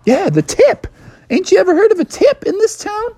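A man's voice: high-pitched, big pitch swings, moderate pace, dry recording, pitched above the performer's own voice. A man voicing an eager, cheerful bear who delivers pizza in a children's story, casual and enthusiastic. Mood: indignant.

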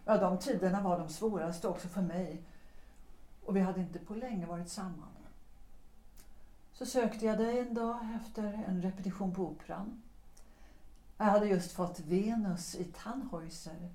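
An actress playing a former singer who calmly and deliberately explains why she left her lover. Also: medium pitch, lightly acted. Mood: reproachful. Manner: measured, deliberate, quietly reproachful. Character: woman, a former opera singer, calmly accusing. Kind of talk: audio drama acting. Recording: roomy echo.

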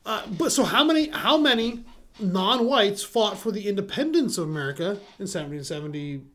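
A man's voice: sits high, lively intonation, a bit bright. A man co-hosting a casual podcast chat, swearing freely, laughing often and stumbling through his thoughts with lots of fillers. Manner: profane, laughs often, halting with many fillers. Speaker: podcast co-host.

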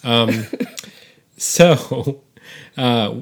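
A man's voice: mid-range voice, drawn-out words. A man co-hosting a movie podcast in casual chat, joking and chuckling often. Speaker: podcast co-host.

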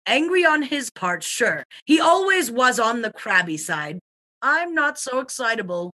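Woman playing a bright, brash, cynical husband. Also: on the high side, very animated, a little slow, exaggerated voice. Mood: cynical, impatient. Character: cocky, slangy, cynical society husband. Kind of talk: dramatic reading of comic murder mystery.

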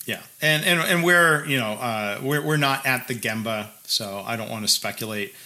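A man with a very bright, forward tone co-hosts a relaxed chat show over a video call. He talks in a loose, halting way, circling back with fillers and restarts before landing his point. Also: medium pitch. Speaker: podcast co-host. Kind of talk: casual conversation.

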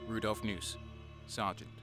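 A man's voice: fairly low pitch, steady tone, unhurried, over music, lightly acted. A man playing a cynical, guarded wounded guardsman sergeant, narrating a sci-fi war audio drama in a sardonic, brooding way. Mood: dry, defiant.

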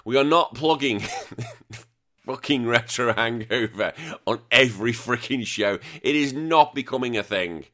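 Croaky, forceful podcast host man. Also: mid-range voice, measured pace. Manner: blunt, swears freely, joking. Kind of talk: casual chat.

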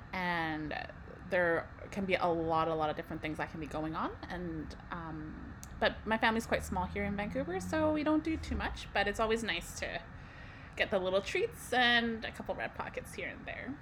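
A woman's voice: on the low side, moderate pace, fairly bright tone, rough recording. Young woman, from Canada, podcast co-host, lots of ums, faint hiss behind. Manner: conversational, many fillers, light laughs. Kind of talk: casual podcast chat.

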